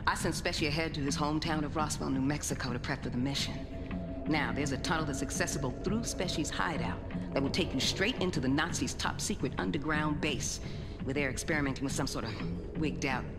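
Tough american rebel boss, lowish voice, brash n sweary, echoey with loud music. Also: female voice, a little fast. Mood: scheming, sharp. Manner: brash, profane, slangy. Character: tough, brash, commanding woman resistance leader. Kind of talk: video game cutscene acting.

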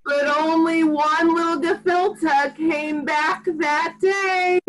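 A woman in her forties reading a picture book aloud to young children in an expressive, sing-song, deliberate way, recorded at home on a computer mic. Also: very high voice, rough recording. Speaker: rabbi reading to preschoolers. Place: small furnished room at home. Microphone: computer microphone on a video call.

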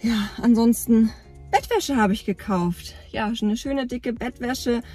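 Chatty breathy woman vlogging from her car. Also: on the high side, measured pace, dark tone, soft background music. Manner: chatty, rambling with frequent fillers. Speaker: camping vlogger. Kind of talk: casual vlog chat. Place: inside a parked car. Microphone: phone or camera at arm's length.

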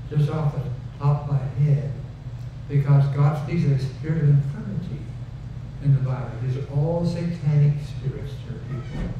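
An older man preaching a sermon from a pulpit in a folksy, conversational way, repeating phrases for emphasis, then leading a prayer. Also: on the high side. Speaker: pastor. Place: wood-paneled church stage, indoors. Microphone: headset mic at a pulpit. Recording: echoey room, distant and dull, noisy.

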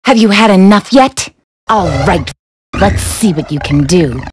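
A woman plays a female hedgehog fighter from a video game, confident and menacing. Her pitch is middling but moves dramatically, and she takes her time over each cool, theatrical taunt. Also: animated, a little slow, noisy background, natural voice. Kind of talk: video game battle voice clips.

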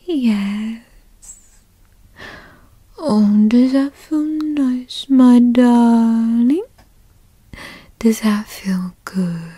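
A woman playing a possessive, doting, teasing girlfriend in a whispered ASMR roleplay, coaxing and cooing in a breathy voice. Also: on the high side, dark tone, dry recording, studio quality, full character voice. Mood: tender, soothing. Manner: coaxing, cooing, slow and indulgent. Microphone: close studio mic.

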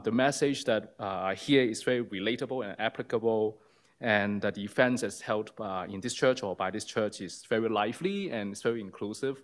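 Hesitant low-voiced man from Hong Kong. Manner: hesitant, many fillers. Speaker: congregation member. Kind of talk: personal testimony. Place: church sanctuary.

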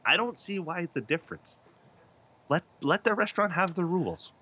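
A man's voice: high-pitched, sing-song, brisk, bright, forceful tone, husky. A man in his thirties, a podcast host joking and laughing as a debate guest over a video call. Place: small indoor room.

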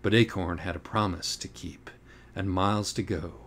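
Male audiobook reader, lower-pitched, wry and deliberate, literary delivery. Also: fairly even intonation, a bit bright. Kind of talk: scripted audiobook narration.

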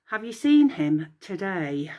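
A woman voicing an impatient, cross goddess queen in a storybook, sharp and demanding. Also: mid-range voice, sing-song, slow pace. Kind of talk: storybook reading voice.

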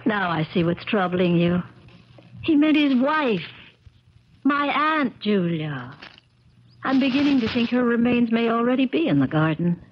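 Animated, earnest young niece. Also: female voice, a little high, unhurried. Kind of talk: 1970s radio drama acting. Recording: dull crackly 1970s radio recording.

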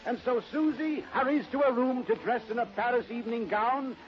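A muffled old radio recording of a male narrator. His voice is high for a man, and he delivers scripted drama narration in 1930s style. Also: brisk, faint music behind.

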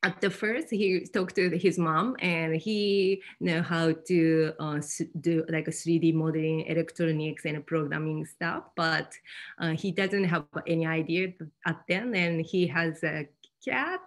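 Japanese-accented woman with a creaky voice. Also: low-pitched. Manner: halting non-native English with frequent "like". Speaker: fab lab presenter. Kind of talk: informal presentation at an online meetup. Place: online video meeting.

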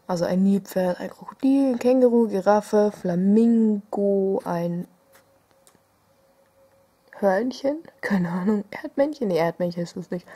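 A woman's voice: medium pitch, warm tone, slight background noise. A young woman chatting casually as she unboxes stickers at her desk, hesitant with many fillers and small giggles. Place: indoors at a desk, home room.

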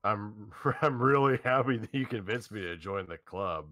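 A man's voice: fairly low pitch, animated, normal speed, pushed and bright, no room sound. A man playing an earnest, caring young male protagonist in a visual novel reading. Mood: earnest, reassuring.

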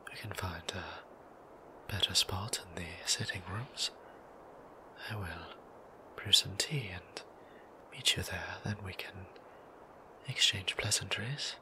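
A man voicing a soft-spoken vampire priest. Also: low voice, exaggerated voice, rough recording. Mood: nervous. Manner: soft whisper, stammering when nervous. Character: gentle, flustered male vampire priest. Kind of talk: ASMR audio roleplay.

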